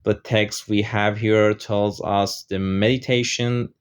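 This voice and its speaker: A man whose first language is Persian, narrating a software tutorial over screen recordings, explaining step by step with frequent "uh" fillers. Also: fairly low pitch, steady tone, takes it slowly, clean tone.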